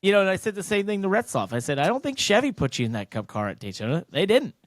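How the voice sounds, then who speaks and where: A man's voice: sits high, very animated, quick pace, dry recording, studio quality. An American man hosting a casual sports podcast, talking bluntly and emphatically in long, rambling turns. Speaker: podcast host, racing driver.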